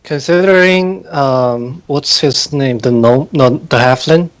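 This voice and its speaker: A man's voice: medium pitch, slow. A man chatting casually as a player in a tabletop role-playing game. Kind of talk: casual chat.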